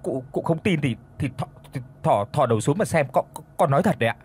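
A man's voice: fairly high pitch, expressive, fast talker, a little mellow, breathy edge. A man voicing a terrified young servant boy who stutters and repeats words timidly. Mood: frightened.

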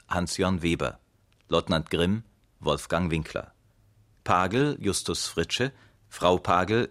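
A man announcing radio drama credits in a measured, formal, scripted way. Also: deep voice, fairly bright tone, dry recording, studio quality.

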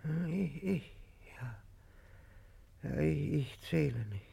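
An actor playing a sick, confused owner of a country estate in a 1950s German radio play, halting and repeating himself in a gravelly voice. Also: male voice, a little high, lively intonation, warm tone, exaggerated voice. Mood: faltering, weary. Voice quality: gravelly, creaky. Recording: 1950s radio drama recording.

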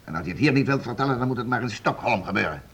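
A man's voice: medium pitch, animated, very fast, a bit bright, breathy edge. An actor playing a stern, domineering nobleman in a period radio play, curt, impatient and commanding. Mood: threatening. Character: stern, domineering nobleman interrogating servants for the crown. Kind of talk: period radio play acting in formal Dutch.

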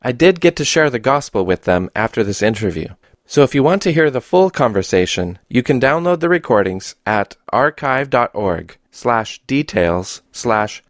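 An American man working as a radio host and interviewer, speaking clearly and deliberately. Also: mid-range voice, no echo, clean studio sound. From United States. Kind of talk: radio intro and street interview.

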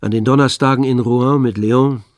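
An actor playing the detached, wry narrator of a literary radio play, with a gravelly voice. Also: male voice, medium pitch, steady tone, normal speed, no echo, studio quality, lightly acted.

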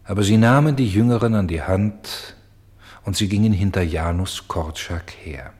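An actor playing a grave, sorrowful storyteller in a radio play, with solemn and deliberate phrasing. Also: male voice, low voice, darkish tone, close to an everyday voice. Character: grave, sorrowful male storyteller bearing witness to tragedy.

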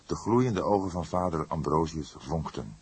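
Very deep, husky male narrator. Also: a little mellow, no room sound. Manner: measured, literary storytelling. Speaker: audiobook narrator. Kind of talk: scripted detective story narration.

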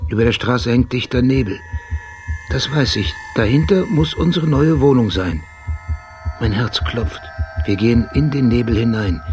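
A man playing a brooding, obsessive police detective, narrating precisely and introspectively in short measured sentences in a radio drama. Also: mid-range voice, lively intonation, a little mellow, faint music behind, natural voice. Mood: tense, wondering. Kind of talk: literary radio drama monologue.

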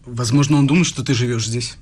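A man's voice: medium pitch, fairly even intonation, fast talker, darkish tone, close to an everyday voice. An actor playing an affectionate, easygoing young male student in a radio play, talking in a teasing, coaxing way. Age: young adult.

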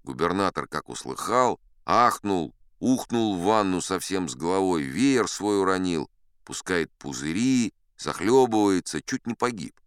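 A man's voice: sits low, animated, measured pace, clean tone, dry recording, clean studio sound. A man playing an older sea captain who spins a yarn in a dramatized audiobook, wry, boastful and conversational. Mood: wry, unhurried. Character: old sea captain storyteller, folksy, boastful, dignified.

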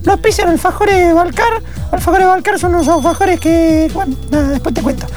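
Very high voice, actor as a male radio co-host, Argentine accent, chatty, a little clueless, improvised radio play, music behind. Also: a little fast. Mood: vague.

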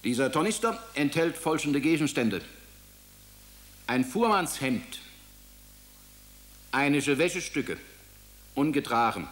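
A man reads narration for a radio drama at an unhurried pace. His delivery is measured and plain, one item after another. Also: on the high side, expressive, fairly bright tone. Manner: slow, measured listing.

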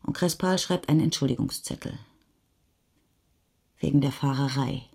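Husky woman narrator, darker voice. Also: a bass voice, moderate pace, a little mellow. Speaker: narrator in a radio play. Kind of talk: literary third-person narration.

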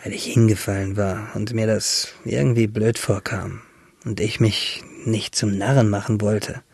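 An actor playing a wistful, tender young shipwrecked seaman in a radio drama monologue, intimate and halting, with a husky voice. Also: medium pitch, a little mellow, no echo. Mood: reflective. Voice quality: husky, gravelly, breathy.

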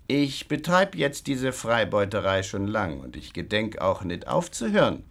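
A swaggering highwayman in a radio drama. He is cynical and emphatic, speaking slowly in a slightly low voice that swings widely in pitch. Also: sing-song, gravelly, fairly bright tone, heavily acted. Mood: bragging, familiar. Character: boastful, cynical highwayman, rough former secretary turned robber.

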